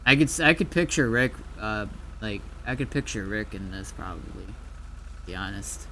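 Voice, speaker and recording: A man with a fairly low, bright, forward voice thinks aloud in casual gameplay commentary. He mutters to himself like a streamer at his desk, with faint noise, typing and game sounds behind him. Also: expressive, normal speed. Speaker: gaming streamer.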